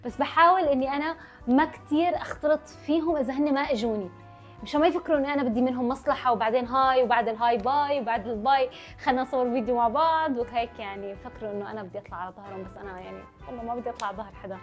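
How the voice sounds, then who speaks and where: Fast-talking young woman, Levantine Arabic accent. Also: on the high side, soft background music. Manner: talks fast, running words together. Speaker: vlogger answering followers' questions. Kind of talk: casual chatty Q&A to camera.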